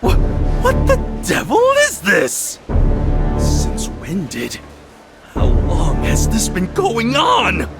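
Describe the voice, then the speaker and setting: Wildly swooping eccentric doctor. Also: male voice, sits high, wildly swooping pitch, loud music behind the voice, full character voice. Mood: shocked. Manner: theatrical, musing aloud, drawn-out words. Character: eccentric theatrical male doctor, muttering over patient records. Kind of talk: video game voice acting.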